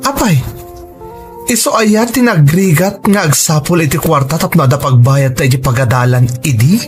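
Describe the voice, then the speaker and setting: A man's voice: a little high, normal speed, natural voice. A man playing a resentful grown son in an audio drama, confronting his absent father in an emphatic, accusing way. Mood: angry, bitter. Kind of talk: Ilocano audio drama acting. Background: background music.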